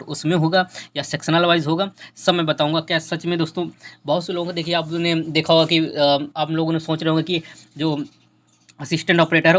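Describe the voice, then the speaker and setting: Young guy, talks really fast, bit high pitched, explainer style, repeats himself to make the point. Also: fairly bright tone. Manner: repeats phrases for emphasis. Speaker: exam preparation YouTuber. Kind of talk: informal explainer addressed to exam candidates.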